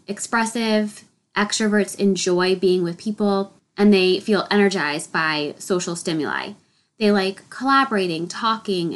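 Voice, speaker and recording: Woman, podcast host, stuffy head cold, a bit nasal, upbeat solo talk. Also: medium pitch. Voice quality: a little nasal.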